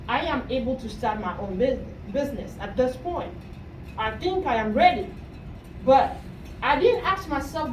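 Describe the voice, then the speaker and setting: A woman from Cameroon giving a motivational talk to students as a coach, checking in with questions as she goes. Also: high voice. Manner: asks the audience questions, often adds "right?". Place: room at a university talk. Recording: roomy, distant mic, faint noise.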